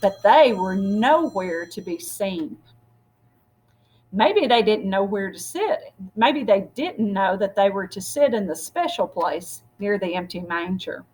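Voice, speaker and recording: A woman with a husky voice reading a storybook aloud expressively, as if to children. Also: medium pitch, takes it slowly, a little hiss or hum. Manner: expressive, acts out dialogue. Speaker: story reader.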